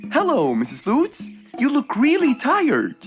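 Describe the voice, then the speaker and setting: A woman's voice: high voice, huge pitch swings, unhurried, soft background music, heavily acted. A woman voicing a kindly, helpful fox with a friendly, patient manner in a children's cartoon.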